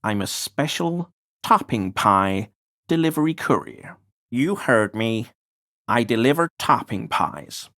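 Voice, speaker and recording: Furtive conspiracy nut, wildly swooping pitch. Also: male voice, big pitch swings, takes it slowly, sharp tone, no echo, studio quality, heavily acted. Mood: evasive, smug. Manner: conspiratorial, obsequious, evasive. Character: paranoid, furtive cryptofascist pie-delivery man, deferential yet smug. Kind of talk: video game character dialogue.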